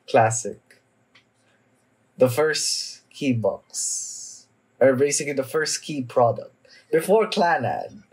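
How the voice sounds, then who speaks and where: A young man giving casual, off-the-cuff reaction commentary, halting and sweary, often repeating his phrases. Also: mid-range voice, a little mellow, slightly rough, low-quality recording. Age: young adult. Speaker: reaction video host. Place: small room indoors at home.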